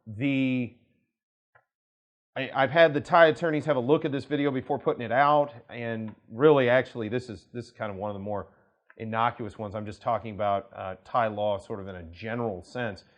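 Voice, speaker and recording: A man's voice: mid-range voice, moderate pace, muffled recording. Man explaining law casually. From United States. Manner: conversational, many restarts and asides while explaining. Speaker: attorney and law firm director. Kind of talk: explanatory talk to camera.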